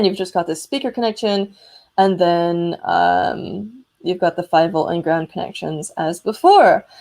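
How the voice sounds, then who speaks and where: A woman's voice: fairly low pitch, a little mellow. Enthusiastic woman chatting on a livestream. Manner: enthusiastic, informal, rambling. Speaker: maker hosting a live electronics show. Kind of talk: casual livestream chat with demonstration.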